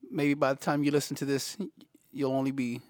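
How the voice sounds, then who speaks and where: A man's voice: mid-range voice, level tone, fairly quick, darkish tone, no room sound, clean studio sound. A man hosting a faith podcast and wrapping up the show in an easygoing, chatty way. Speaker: podcast host. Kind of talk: casual podcast sign-off.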